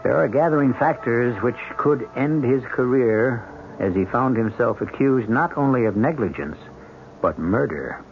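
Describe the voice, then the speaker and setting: A man's voice: medium pitch, animated, measured pace, a little hiss or hum. A man narrating a radio mystery as host in an ominous, theatrical style. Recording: muffled old radio broadcast. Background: dramatic music.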